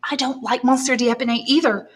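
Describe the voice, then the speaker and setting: A woman's voice: sits high, fairly even intonation, a little fast, pitched above the performer's own voice, natural voice. A woman reading aloud and voicing a young woman, an earnest and worried granddaughter pleading with her grandfather. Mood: tender.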